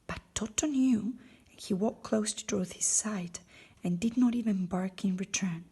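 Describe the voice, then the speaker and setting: A woman reads a children's story expressively. Her voice is very breathy and husky, at a middling pitch. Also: normal speed, dark tone. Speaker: storybook reader. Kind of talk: expressive scripted storybook narration.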